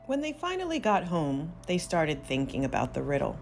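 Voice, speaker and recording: A woman narrating a children's story aloud, expressive, with drawn-out emphasis on key words. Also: deep voice, gravelly, faint music behind. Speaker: storybook reader.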